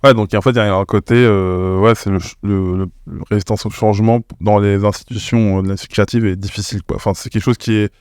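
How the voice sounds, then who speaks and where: A man's voice: fairly low pitch, steady tone, fast talker, hardly any pauses, a little mellow, smooth, dry recording, clean studio sound. A man hosting a casual interview, chatty and teasing as he asks questions. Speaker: podcast host.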